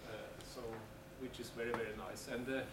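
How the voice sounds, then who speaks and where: A man talks through the plans for a seminar day, pausing often and restarting his sentences. He sounds set back from the microphone in an echoey meeting room. A steady noise runs under his voice. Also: mid-range voice. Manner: hesitant, many fillers and restarts. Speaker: seminar organizer. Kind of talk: announcements at a seminar. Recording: echoey room from far off, noisy.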